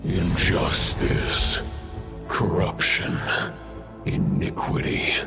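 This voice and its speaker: An actor playing an ancient, wrathful wolf spirit in a game, speaking in a deep gravelly growl with archaic, portentous phrasing. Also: male voice, completely flat delivery, extremely slow, heavily acted. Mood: grim, accusing. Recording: loud music behind the voice.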